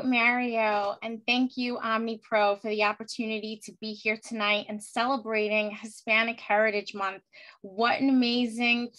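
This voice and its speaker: A woman's voice: on the high side, a bit bright, rough recording. A woman of Puerto Rican descent giving an earnest, heartfelt prepared speech as a panelist at a virtual heritage event. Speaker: panelist speaker.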